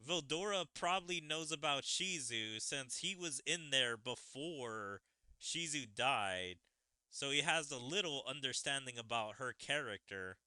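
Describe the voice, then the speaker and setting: A man doing casual gaming commentary as a streamer, with an exasperated, animated delivery over game music. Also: a little high, clear, resonant tone, dry recording. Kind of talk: casual game playthrough, reading dialogue aloud.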